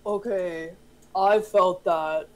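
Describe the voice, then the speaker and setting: A woman's voice: lowish voice, fairly even intonation, very slow, low-quality recording. A woman playing a wary member of a monster-investigation team in an amateur script read. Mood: uneasy.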